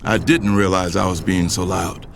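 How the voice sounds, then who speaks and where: A man's voice: sits low, steady tone, normal speed, vocal fry, background noise. A man acting as a hot-tempered, domineering middle-aged father and company boss in an audio drama. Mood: indignant, stunned. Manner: commanding, indignant. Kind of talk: dramatized first-person audio drama narration and dialogue. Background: music.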